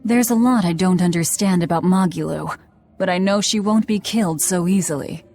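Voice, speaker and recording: Woman as a low-voiced, curt young outlaw. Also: normal speed, soft background music, natural voice. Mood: cold. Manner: curt, deadpan. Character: grim, hard-bitten young outlaw woman, cold and curt. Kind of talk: video game voice acting.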